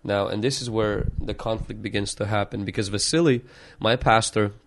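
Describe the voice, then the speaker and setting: Lower-voiced man from Ukraine, earnest. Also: fairly even intonation, moderate pace. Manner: earnest, reflective, with frequent restarts. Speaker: pastor and podcast guest. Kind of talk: opening prayer, then storytelling interview answers.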